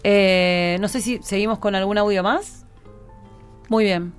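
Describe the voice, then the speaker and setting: A woman's voice: medium pitch, steady tone, measured pace, smooth, soft background music. A woman co-hosting a live radio broadcast, chatting casually about the program. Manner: casual, informal. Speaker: radio co-host.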